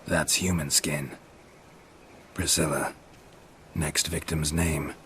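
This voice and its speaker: Very deep-voiced monster hunter, laconic, world-weary, blunt, video game. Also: male voice, flat delivery, slow, fairly bright tone, slight background noise, heavily acted. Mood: grim, blunt. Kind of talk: video game character acting.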